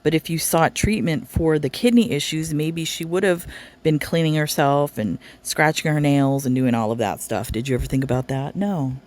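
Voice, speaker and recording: A woman's voice: low voice, normal speed, a little mellow. A woman hosting a reaction channel, commenting with sarcastic, scolding asides. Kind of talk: commentary over a played livestream.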